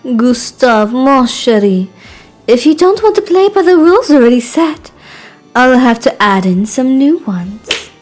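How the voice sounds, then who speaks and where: A woman's voice: high voice, sing-song, a little slow, soft background music, full character voice. A woman voicing a haughty, theatrical aristocratic lady who peppers speech with French endearments, for an amateur game. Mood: commanding. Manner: imperious, grandiose.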